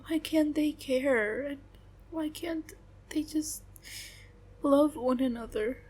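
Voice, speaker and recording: A woman playing a young adult best friend who speaks in a halting, subdued way with long pauses, venting about her family. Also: high voice, darkish tone. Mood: hurt. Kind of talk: audio roleplay acting.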